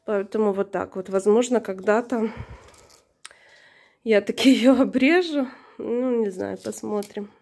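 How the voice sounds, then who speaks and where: A woman narrating her own home video casually and hesitantly, slightly hoarse from being unwell. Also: medium pitch, unhurried, pauses often, warm tone. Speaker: plant vlogger. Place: indoors, room in a flat. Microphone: handheld camera or phone, behind the camera.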